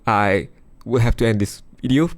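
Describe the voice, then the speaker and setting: A man losing his voice, chatting casually through a music software demo over a screen recording. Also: mid-range voice, steady tone, moderate pace. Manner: chatty, thinks aloud with fillers. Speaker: music production youtuber.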